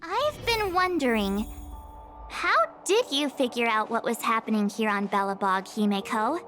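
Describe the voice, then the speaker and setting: A woman's voice: on the high side, measured pace. An actress voicing a bubbly, chatty teenage girl adventurer in a video game. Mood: curious. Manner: bubbly, expressive. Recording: game music behind the voice.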